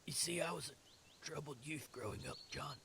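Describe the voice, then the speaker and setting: A man plays a dying young male guard in an audio drama, gravelly and somewhat high in pitch. He is bitter and spent, gasping and stammering through broken phrases on a faintly noisy recording. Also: moderate pace, bright, forceful tone, heavily acted. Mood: anguished, confessing. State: mortally wounded, gasping, coughing. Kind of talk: dramatic audio fiction acting.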